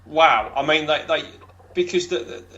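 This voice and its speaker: Podcast guest, man, deep voice, loose rambling chat. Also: normal speed, bright, forceful tone, dull audio. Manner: rambling, many fillers and restarts. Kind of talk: casual interview chat.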